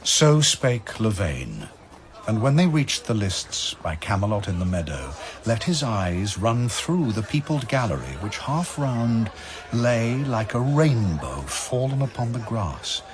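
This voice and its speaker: A man's voice: on the low side, big pitch swings, measured pace. A man narrating epic verse as a scripted drama, in a grand, theatrical storytelling manner. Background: music.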